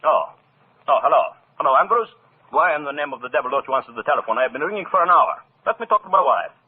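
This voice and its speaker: An actor playing a jealous, suspicious orchestra maestro, imperious and sarcastic, in old radio drama style. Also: male voice, on the high side, expressive, fast, husky. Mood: angry, impatient. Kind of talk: 1940s radio drama acting. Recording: scratchy, muffled old radio broadcast.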